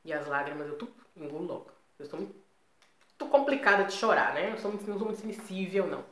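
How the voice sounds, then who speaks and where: Brazilian woman vlogger, Brazilian Portuguese accent, lowish voice, chatty and emphatic, echoey room. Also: expressive, fast talker. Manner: chatty, emphatic, frequent tag questions. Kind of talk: casual personal vlog to viewers.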